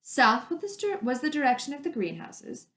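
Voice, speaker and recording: A woman teacher reading a story to children in an animated, emphatic way, adding her own commentary. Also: high-pitched, normal speed. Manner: animated, emphatic, stretches descriptive words. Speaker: teacher reading aloud to pupils. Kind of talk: expressive storybook read-aloud with commentary to children. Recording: echoey room sound.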